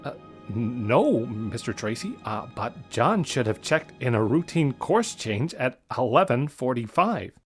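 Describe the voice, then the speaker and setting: A man's voice: medium pitch, big pitch swings, measured pace. Nervous brainy scientist voiced by a man, hesitant stammer, courteous, audio adventure story, faint music behind. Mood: hesitant, polite.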